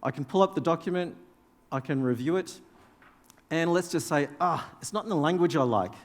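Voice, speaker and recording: A man whose first language is Danish gives a live software demonstration to a conference audience, explaining each step in a casual way and putting questions to the room. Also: fairly high pitch, lively intonation, a little fast. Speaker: conference presenter. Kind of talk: live software demo talk. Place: large conference hall. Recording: reverberant conference hall.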